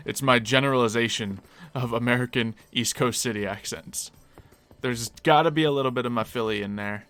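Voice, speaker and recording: A man's voice: mid-range voice, brisk, fairly bright tone. A man with a slight Philadelphia accent chatting casually on a live stream while he plays, musing aloud and laughing easily.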